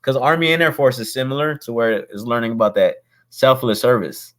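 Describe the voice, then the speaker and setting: A man's voice: mid-range voice, fairly quick, a bit bright. A man hosting a casual interview, friendly and rambling, with a habit of saying "bro" and "my man". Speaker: host, real estate investor.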